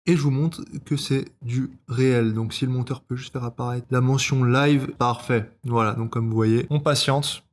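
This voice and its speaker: A man's voice: medium pitch, steady tone, moderate pace, few pauses. A man trader casually walking viewers through a trade on his phone, with plenty of fillers. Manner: casual, explanatory, filler-heavy. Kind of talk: casual vlog narration.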